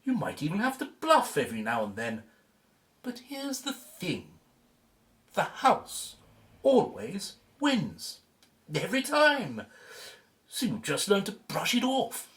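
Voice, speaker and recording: Smug, drawling gent with swooping pitch male actor. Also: wildly swooping pitch, slow pace, pauses often, a bit bright, full character voice. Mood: smug, teasing. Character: smug, suave gentleman rival, slyly condescending. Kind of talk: audio drama acting.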